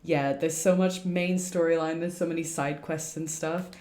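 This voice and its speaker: A woman's voice: low voice, fairly even intonation, normal speed, darkish tone. A woman livestreaming a game, chatting casually as she plays. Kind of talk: casual chat while playing. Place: indoor room, livestream setup. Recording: roomy streamer mic with game music.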